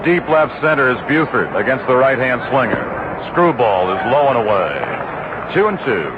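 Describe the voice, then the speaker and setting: A middle-aged man giving steady radio play-by-play of a baseball game, rising to excited calls on big plays. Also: mid-range voice, normal speed. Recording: muffled old AM radio broadcast with loud hiss.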